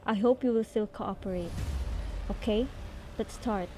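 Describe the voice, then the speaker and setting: A woman's voice: medium pitch, measured pace, mellow, a little hiss or hum, lightly acted. A woman playing a composed investigator in an amateur radio drama, asking formal, probing questions. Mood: firm. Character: composed female investigator questioning gifted students. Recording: music behind the voice.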